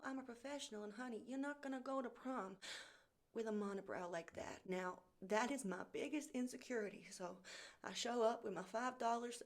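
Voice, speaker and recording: A woman playing an anxious, wounded high school girl giving melodramatic, earnest testimony in a comedy roleplay. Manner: dramatic, earnest storytelling.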